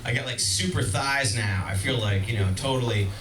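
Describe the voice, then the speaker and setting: A man doing a stand-up comedy set in a club, self-deprecating and breaking into shouty bursts. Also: mid-range voice, normal speed, heavy background noise. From Boston, Massachusetts. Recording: echoey club from far off. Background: audience laughter.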